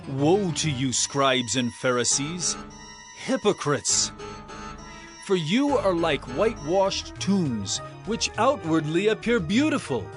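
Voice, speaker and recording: Impassioned prophetic teacher, declamatory scripture drama, higher-pitched male voice, music behind. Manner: declamatory, emphatic, drawn-out cries.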